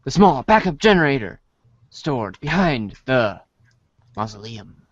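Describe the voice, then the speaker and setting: A man narrating a read-along storybook in a deliberate, theatrical way. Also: mid-range voice, big pitch swings, no echo. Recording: dry, dull lo-fi audio.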